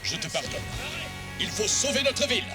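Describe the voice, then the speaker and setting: A woman's voice: mid-range voice, big pitch swings, normal speed, loud music behind the voice. A woman voicing a steadfast giant transforming robot, firm and reassuring, with a distant processed sound, in a French cartoon dub.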